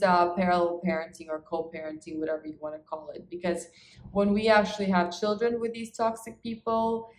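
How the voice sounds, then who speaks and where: A woman's voice: lowish voice, darkish tone. A woman, talking earnestly and emphatically to camera as a relationship coach. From Ukraine. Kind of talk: talk to camera introducing herself to clients. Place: medium-sized living room indoors. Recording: echoey reverberant living room.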